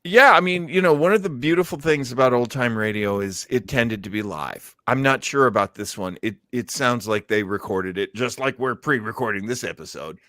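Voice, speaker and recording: A man's voice: medium pitch, moderate pace, few pauses, bright, forceful tone, no room sound, studio quality. A man hosting a casual chat show, talking in an effusive, appreciative manner. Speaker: show host.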